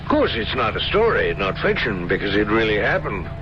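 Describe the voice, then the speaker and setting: An actor playing a gruff, knowing colonel, a man who talks deliberately and with authority in an old radio drama. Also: fairly low pitch, sing-song. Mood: knowing, warning. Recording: dull lo-fi radio recording, vehicle noise.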